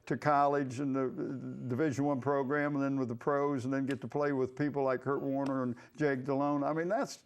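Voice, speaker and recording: A man's voice: mid-range voice, fairly even intonation, brisk, hardly any pauses, smooth. A man hosting a conversational local sports interview, feeling his way through questions with repeated words. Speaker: sports talk show host.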